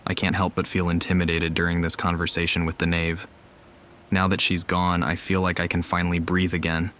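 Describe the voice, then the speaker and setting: A man's voice: deep voice, monotone, a little fast, a bit bright, slight background noise. A man voicing a reserved, wary young traveler in a video game, understated and thoughtful. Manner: understated, guarded. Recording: faint music behind the voice.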